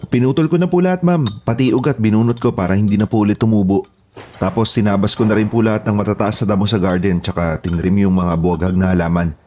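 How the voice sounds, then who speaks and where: A man's voice: on the low side, fairly even intonation, fast, dark tone, a little hiss or hum, close to an everyday voice. A man playing a polite, obedient houseboy and gardener who speaks deferentially in an audio horror drama. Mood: respectful, eager. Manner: deferential, eager to report.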